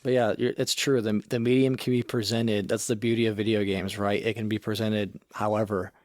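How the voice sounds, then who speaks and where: A man's voice: fairly low pitch, little pitch movement, a little fast, darkish tone, no room sound, clean studio sound. A young man in his twenties co-hosting a podcast, talking in casual, slangy, enthusiastic chat. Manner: enthusiastic, slangy, heavy use of "like". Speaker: podcast co-host.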